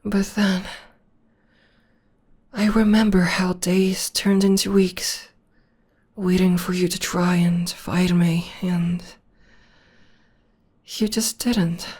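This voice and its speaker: Casting: loving witch girlfriend for comfort ASMR, played by a woman, breathy and low, slow, soothing delivery. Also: little pitch movement. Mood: fond, reminiscing. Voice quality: soft, breathy, half-whispered. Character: gentle, loving witch girlfriend comforting her demon partner. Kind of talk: ASMR roleplay, intimate personal attention.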